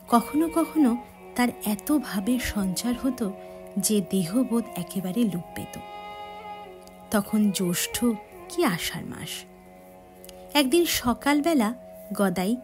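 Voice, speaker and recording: A woman tells a children's devotional story at an unhurried, slow pace, with a gentle, measured delivery. Her voice sits at a middling pitch and now and then turns soft and breathy. Faint music plays quietly underneath her. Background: faint background music.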